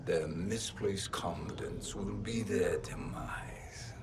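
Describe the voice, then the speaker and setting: A man voicing a scheming, smug sorcerer villain in a video game, speaking slowly and sinisterly. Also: low-pitched, in a whisper. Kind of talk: video game cutscene acting.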